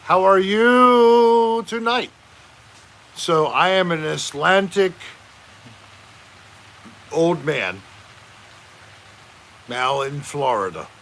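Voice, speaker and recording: A man voicing a goofy old Icelandic man who tells rambling tall tales in a slow, loud way. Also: high voice, lively intonation, slight background noise, pitched above the performer's own voice, full character voice.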